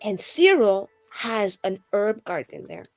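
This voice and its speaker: A woman's voice: medium pitch, very animated, takes it slowly, soft background music. Chatty, slangy woman in tabletop banter. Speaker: tabletop role-playing player. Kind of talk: casual in-character improvised banter.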